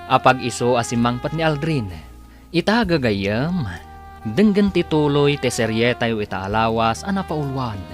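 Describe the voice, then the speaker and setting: A man's voice: medium pitch, lively intonation, unhurried, lightly acted. A man narrates a radio drama serial in a dramatic announcing style over music. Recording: music under the voice. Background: musical instruments.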